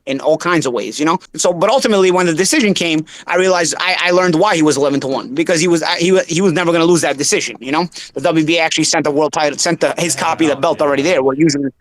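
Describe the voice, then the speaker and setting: Fast-talking Brooklyn man, Bensonhurst accent. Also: on the high side, no echo. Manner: animated, piles up quick restarts and repeated words. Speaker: host, former boxer. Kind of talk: casual boxing talk.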